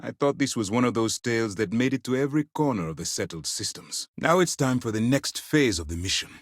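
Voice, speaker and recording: An actor playing a stern, principled space navy commander in a video game, speaking in a formal, deliberate, authoritative way. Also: male voice, medium pitch, lively intonation, dry recording, studio quality, close to an everyday voice. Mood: measured, grave. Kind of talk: scripted dramatic game dialogue.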